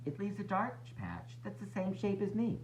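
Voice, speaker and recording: A man voicing a gentle, knowledgeable female moth who patiently explains things in a children's storybook. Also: high-pitched, huge pitch swings, normal speed, a little hiss or hum, voice pushed up, poor audio. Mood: patient explaining. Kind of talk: read-aloud children's storybook.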